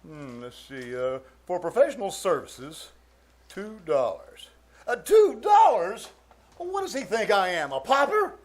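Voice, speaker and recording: An actor playing a pompous, blustery middle-aged uncle in a live 1940s-style radio comedy, easily flustered and full of hems and exclamations. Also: male voice, sits high, big pitch swings, unhurried, a little hiss or hum. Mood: indignant. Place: live stage performance in a theater.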